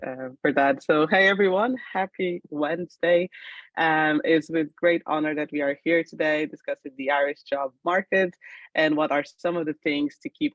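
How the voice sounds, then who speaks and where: A woman's voice: low voice, dry recording, dull audio. A woman from Portugal working as a recruiter, giving a friendly and upbeat webinar introduction over a video call. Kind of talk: webinar presentation.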